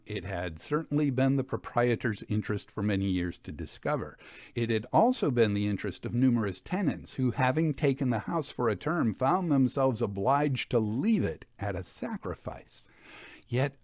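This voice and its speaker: A man giving a formal, measured and expressive storytelling read of an old Victorian ghost account. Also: medium pitch, no echo, studio quality. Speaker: audiobook reader. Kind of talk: dramatic scripted narration of a Victorian ghost account.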